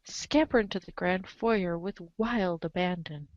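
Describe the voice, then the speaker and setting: A woman's voice: lowish voice, unhurried, dry recording, highs cut off. A woman reading a webcomic script aloud as a reader's theater performer, with emphatic, playful exasperation. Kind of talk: animated dramatic reading of a webcomic, in second person.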